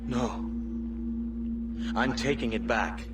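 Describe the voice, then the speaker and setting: An actor playing a weary, determined pirate captain, solemn and plain-spoken, in a video game cutscene. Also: male voice, medium pitch, slow pace, loud music behind the voice, close to an everyday voice. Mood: defiant. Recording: loud ambient noise behind.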